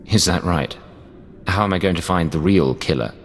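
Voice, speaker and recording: An actor playing a cool, guarded undercover agent in a video game, speaking in a terse, understated way. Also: male voice, low-pitched, moderate pace. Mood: doubtful. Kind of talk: dramatic game cutscene acting. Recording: long hall reverb, game music.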